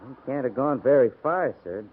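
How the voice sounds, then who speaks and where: An actor playing a gruff, sceptical American frontier cavalry sergeant in a 1950s radio drama, with a folksy, laconic way of talking. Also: male voice, medium pitch, expressive, fairly quick, lightly acted. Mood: matter-of-fact. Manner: folksy, laconic, dropped syllables. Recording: muffled, noisy 1950s radio recording.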